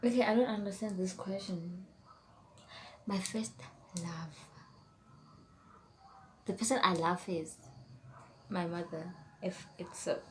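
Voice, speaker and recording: A woman's voice: on the low side, steady tone, takes it slowly, pauses often. Casting: young Setswana-speaking woman from South Africa, chatty YouTuber, faint hiss behind. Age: young adult. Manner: chatty, rambling, trails off into whispers while reading questions. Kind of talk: casual tag video chat.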